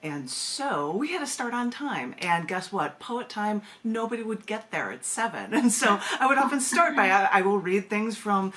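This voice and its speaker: A woman's voice: mid-range voice, big pitch swings, normal speed, hardly any pauses, pushed and bright. Chatty woman guest on a video call, laughs a lot, keeps restarting her sentences. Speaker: poet and magazine editor, guest. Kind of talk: radio interview over video call.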